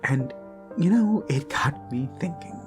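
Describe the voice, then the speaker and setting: A man voicing an obsessive, menacing yandere kidnapper in an intimate ASMR roleplay, speaking in halting, creepy murmurs that break into sudden emphasis. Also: on the high side, lively intonation. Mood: brooding, intimate. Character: obsessive male yandere kidnapper, gushing, menacing, self-abasing zealot. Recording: background music under close voice. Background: music.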